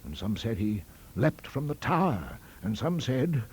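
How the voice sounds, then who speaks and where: A man performs a delicate, fussy college master from a ghost story. The voice sits high and moves freely up and down. He rambles hesitantly, with a complacent, donnish air. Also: big pitch swings, unhurried, a little hiss or hum. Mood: confiding, hesitant.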